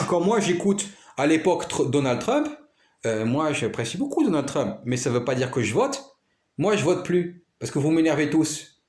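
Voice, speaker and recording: A man's voice: a little high, darkish tone, roomy sound. A man talking in a rambling monologue, repeating himself and checking that the listener follows. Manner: rambling, repeats himself, keeps asking "you understand?". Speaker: vlogger.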